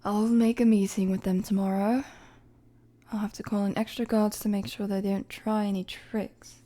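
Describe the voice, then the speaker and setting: A woman playing a weary, guarded young queen in a dramatic audio roleplay, with a soft, breathy voice and measured, formal, hesitant phrasing. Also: medium pitch, little pitch movement, natural voice. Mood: worried, resolute. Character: weary young queen, regal, guarded, firm with her friend.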